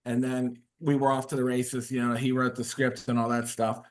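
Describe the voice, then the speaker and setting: A man's voice: medium pitch, little pitch movement, moderate pace. Rambling man, casual webinar talk. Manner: rambling, frequent fillers and restarts. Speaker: guest author.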